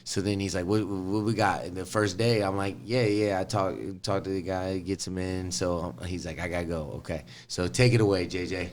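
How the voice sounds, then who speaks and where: A man with a low voice hosts a casual podcast. He talks in loose slang with easy swearing. A slight noise runs behind him. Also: steady tone, normal speed, few pauses. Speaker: podcast host. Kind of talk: casual profane banter.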